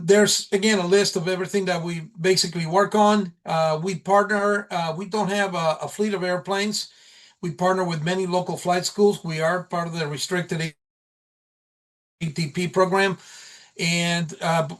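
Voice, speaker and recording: A man giving an informal slide presentation as a college program instructor at a meeting, speaking haltingly with repeated and restarted phrases. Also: high-pitched.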